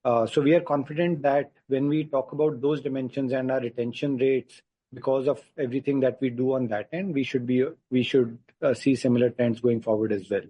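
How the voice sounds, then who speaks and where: A man answers as a company executive on a conference call. He is deliberate, pausing between phrases and checking with the listener. His voice is husky and dark, with a very dry sound. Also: medium pitch, fairly even intonation, dry recording, dull audio. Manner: deliberate, pausing, seeks agreement with "right?". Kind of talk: answering questions on an earnings call. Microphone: conference call line.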